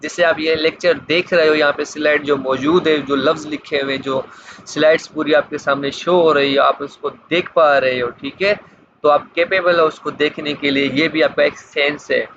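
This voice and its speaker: A muffled, lo-fi recording with clear background noise carries a man's fairly high voice. He speaks with a Pakistani accent in the manner of an informal lecturer, reading out material and then explaining it, often repeating his phrases. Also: fairly even intonation, a bit bright. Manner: explanatory, slows markedly when reading English clauses, repeats phrases. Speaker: law lecturer.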